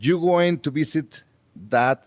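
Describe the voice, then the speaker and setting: A man's voice: a little high, moderate pace, darkish tone. A man hosting a podcast in English, a Spanish speaker by first language, joking loosely with his guest. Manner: joking, loose conversational host. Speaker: podcast host. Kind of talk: casual interview chat.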